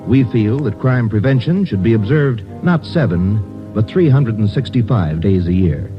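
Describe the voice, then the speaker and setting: A man's voice: lowish voice, animated, moderate pace, over loud music. A man reading a persuasive vintage radio commercial and public announcement. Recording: dull old radio recording.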